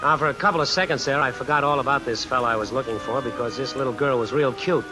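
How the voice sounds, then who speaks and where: An actor playing a brash, suspicious American drifter fresh out of jail, slangy and sardonic, in old-time radio suspense style. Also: male voice, mid-range voice, fast, over loud music, close to an everyday voice. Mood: wry, brooding. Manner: slangy, hard-boiled, sardonic. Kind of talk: old-time radio suspense acting with first-person narration. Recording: vintage radio recording with hiss and rain. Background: steady rain sounds added over the broadcast.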